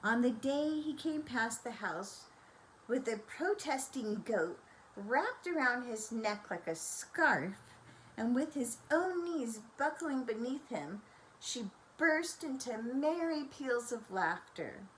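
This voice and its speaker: An older woman storyteller reading a children's bedtime story in a gentle, expressive, grandmotherly manner. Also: fairly high pitch, takes it slowly, a little hiss or hum, low-quality recording.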